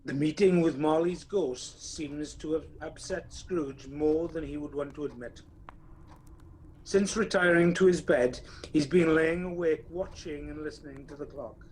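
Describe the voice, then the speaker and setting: A man's voice: on the high side, unhurried, a little mellow, slight background noise, close to an everyday voice, rough recording. A man acting as narrator of a radio play, telling a ghost tale in a dramatic, wry storytelling manner.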